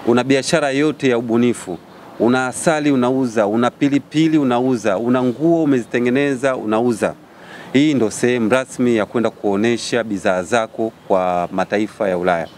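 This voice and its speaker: A man's voice: medium pitch, fairly quick, darkish tone, slight background noise. A man with a Tanzanian accent, speaking as an event organiser addressing a press conference.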